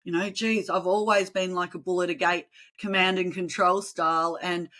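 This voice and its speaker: An Australian woman speaks as a podcast guest in a relaxed interview. Her voice is bright and clear, and she talks in a lively, animated way. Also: lowish voice, moderate pace. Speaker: podcast guest, communication trainer. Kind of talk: casual interview chat.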